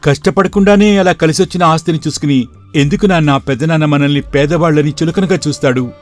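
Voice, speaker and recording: A man's voice: fairly high pitch, fairly quick, soft background music, lightly acted. A man playing a poor, respectful young shop clerk in a moral story, earnest and polite in his talk. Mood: troubled, questioning. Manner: polite and deferential. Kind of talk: dramatized moral story for video.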